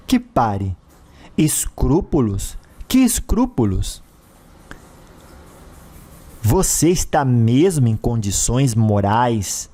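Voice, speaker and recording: Man voicing a menacing, slow-spoken tyrant of the underworld. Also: medium pitch, mellow, husky, heavily acted. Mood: scornful, threatening. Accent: Brazilian. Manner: menacing, imperious, taunting. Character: cruel, mocking male ruler of a hellish spirit realm.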